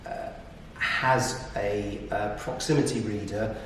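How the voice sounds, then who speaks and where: A man explaining business tips conversationally, in hesitant, measured phrases. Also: fairly low pitch, steady tone, a bit bright. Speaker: property business operator giving tips. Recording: echoey room, distant mic, faint noise.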